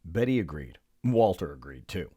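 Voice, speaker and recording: A man's voice: low voice, very animated, moderate pace, dark tone, husky, no room sound, clean studio sound. A man reading a children's story aloud, expressively and with theatrical emphasis. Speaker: storybook reader.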